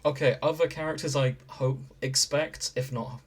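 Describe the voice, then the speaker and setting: Guy hosting a gaming podcast, average pitch, measured explainer, jokes on the side. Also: fairly even intonation, husky. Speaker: podcast host. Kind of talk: casual podcast chat about video games.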